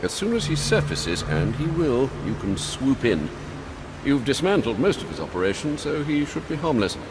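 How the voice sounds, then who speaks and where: An actor playing a loyal manservant in a video game, formal and dry, with a concerned, measured delivery. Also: male voice, mid-range voice, sing-song, background noise. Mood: dry, informative.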